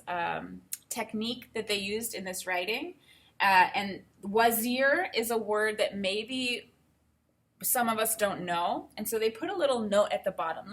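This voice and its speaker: A woman teacher reading a storybook aloud and pausing to explain it simply to young learners. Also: mid-range voice, fairly bright tone. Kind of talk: read-aloud lesson with think-aloud commentary.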